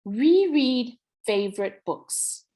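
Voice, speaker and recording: Animated Nepali-accented woman presenting to parents. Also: fairly high pitch, slow pace. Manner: encouraging, instructive, mixes Nepali phrases into English. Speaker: workshop presenter on early reading.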